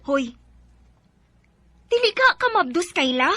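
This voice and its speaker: A woman's voice: very high voice, big pitch swings, slow, background noise, heavily acted. A woman with a Cebuano Filipino accent playing a bossy but caring young best friend in a radio drama. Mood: teasing.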